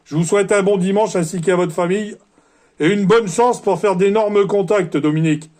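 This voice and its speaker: A man's voice: high-pitched, normal speed, darkish tone. A man speaks as a CB radio operator, courteous and orderly, in the set phrases of a radio contact. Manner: polite, orderly, formulaic sign-offs.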